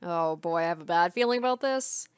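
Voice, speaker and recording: Young woman with a lowish voice, reading aloud. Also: expressive, a little fast, smooth, faint music behind. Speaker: game streamer. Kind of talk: reading visual novel narration aloud with casual commentary.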